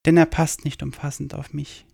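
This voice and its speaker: Calm man, dry close studio voice. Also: on the high side, creaky, no room sound. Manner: calm, measured, declarative statements. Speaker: monologue speaker in a radio play. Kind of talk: scripted personal monologue.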